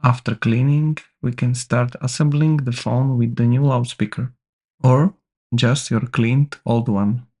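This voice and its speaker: A man's voice: mid-range voice, fairly even intonation, unhurried, warm tone. A man giving instructional, step-by-step narration as the presenter of a repair tutorial.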